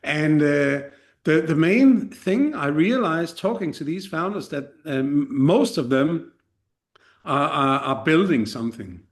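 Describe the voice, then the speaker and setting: A man's voice: a little high, expressive, slow pace. Danish-accented man, frank guest. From Denmark. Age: 40s. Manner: frank and self-deprecating, many fillers and restarts. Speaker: podcast guest. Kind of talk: casual interview chat.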